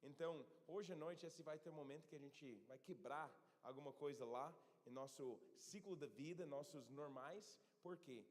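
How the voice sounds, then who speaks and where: A man preaching a sermon in a church and reading scripture aloud, often pausing to search for words and correct himself. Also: a little high. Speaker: pastor. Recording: long church hall reverb.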